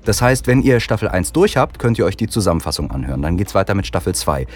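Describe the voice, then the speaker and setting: A man's voice: low voice, big pitch swings, fast talker. A man hosting a casual podcast chat, explaining things in a friendly way while he has a cold. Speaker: podcast host.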